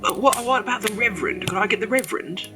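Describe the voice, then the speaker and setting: A man's voice: high voice, fast talker, music in the background, close to an everyday voice. A man playing a polite, worried visitor with stilted formal diction in a ghost story audio drama. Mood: concerned, hesitant. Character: concerned, polite man visiting a dying old woman.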